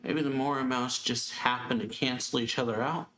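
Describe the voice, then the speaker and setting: A woman's voice: very deep voice, steady tone, fairly quick, fairly bright tone, roomy sound, a little hiss or hum, low-quality recording. A woman streaming a game, giving brief, musing, casual commentary as a let's play host. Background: game music.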